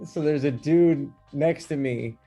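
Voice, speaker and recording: A man's voice: fairly high pitch, takes it slowly, warm tone, breathy edge, faint music behind. A man guesting on a podcast, telling stories in a laid-back way and laughing as he goes. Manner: laid-back storyteller, laughs mid-story. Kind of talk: casual storytelling chat.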